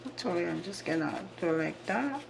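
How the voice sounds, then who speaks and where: A woman's voice: on the low side, lively intonation, moderate pace, gravelly, background noise. A woman hosting a home cooking vlog, talking casually to viewers in short, simple phrases. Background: background music.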